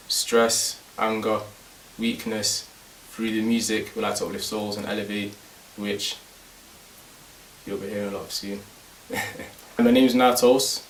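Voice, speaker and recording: A man from Manchester, a musician, speaking calmly and earnestly in an interview. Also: lowish voice, slight background noise. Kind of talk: interview talk. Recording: echoey room, distant mic.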